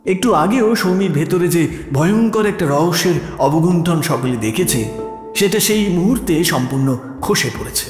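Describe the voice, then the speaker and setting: A male narrator tells a suspense story gravely and dramatically. His somewhat high voice rings with long reverb over soft background music. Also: expressive, moderate pace.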